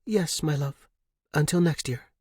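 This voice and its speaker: Man voicing a husky, hesitant young woman. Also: a little high, a little slow, dry recording, clean studio sound, pitched above the performer's own voice. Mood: reluctant, hesitant. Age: young adult. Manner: hesitant, soft-spoken. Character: reluctant, gentle young woman, a hesitant medium. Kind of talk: audiobook character reading.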